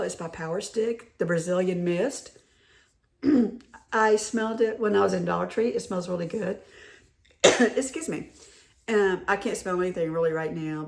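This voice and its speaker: Hoarse, chatty woman. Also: fairly low pitch. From North Carolina. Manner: chatty, rambling, with repeated restarts. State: hoarse from a head cold. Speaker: haul vlogger. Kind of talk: casual show-and-tell chat.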